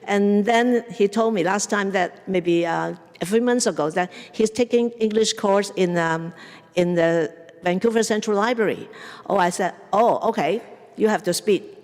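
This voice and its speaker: A woman's voice: medium pitch, moderate pace, a little mellow. A woman in her sixties with a Cantonese accent, chatting informally as she introduces speakers at a community event. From Hong Kong or southern China, in Canada since 1975. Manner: chatty, informal, mixes up pronouns. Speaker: event host, opera organizer. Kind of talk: casual introductions at a public event. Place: museum event hall, indoors. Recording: reverberant hall.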